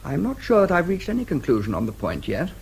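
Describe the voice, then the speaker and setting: An actor playing a composed, protective brother in a radio drama, clipped and polite but firm. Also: male voice, on the high side, wildly swooping pitch, fast talker, creaky, slightly rough, heavily acted. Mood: politely defiant. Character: crisp, protective brother, a composed gentleman standing his ground.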